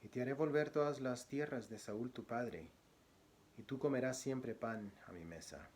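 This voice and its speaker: A man from Guatemala, a church deacon, introducing himself as a guest preacher and reading scripture, speaking softly with many pauses. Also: medium pitch. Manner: soft-spoken, frequent pauses and fillers; louder, declamatory when reading scripture.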